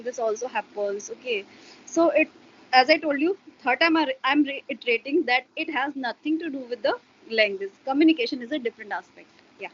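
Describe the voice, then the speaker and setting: A woman's voice: a little high, fairly bright tone, lo-fi sound, a little hiss or hum. A woman, a Hindi speaker, explaining her views in an interview with a hesitant manner and many fillers. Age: adult parent. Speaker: interview guest. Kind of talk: casual explanatory interview talk.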